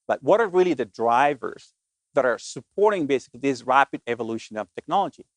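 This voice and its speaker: A man's voice: mid-range voice, expressive, fast, no echo. A middle-aged man from Colombia, giving an earnest business keynote with frequent restarts. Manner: earnest, many restarts and filler words. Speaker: conference speaker.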